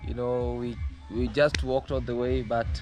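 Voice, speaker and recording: A man's voice: mid-range voice, little pitch movement, normal speed, a little mellow. A young man from Kenya chatting casually in a vlog from his rural home garden, full of fillers and restarts. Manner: hesitant, many fillers and restarts. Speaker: vlogger. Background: distant music.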